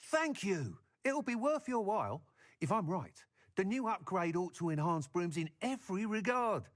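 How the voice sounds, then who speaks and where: An actor playing an enthusiastic broom seller in a video game, eager and persuasive. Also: male voice, high-pitched, sing-song, normal speed. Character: enthusiastic, salesmanlike male broom shop owner.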